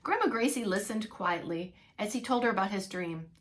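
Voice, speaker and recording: A woman reading a story aloud to young children as a preschool teacher, expressive, with playful asides. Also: mid-range voice, fairly quick, slight background noise. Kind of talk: animated read-along children's storytelling.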